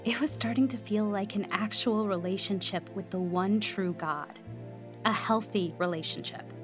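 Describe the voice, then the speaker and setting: A woman's voice: medium pitch, moderate pace, natural voice. An actress playing an earnest young woman recovering from drugs and newly converted, in a radio drama. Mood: reflective. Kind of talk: first-person radio drama narration and dialogue. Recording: studio drama with music underneath.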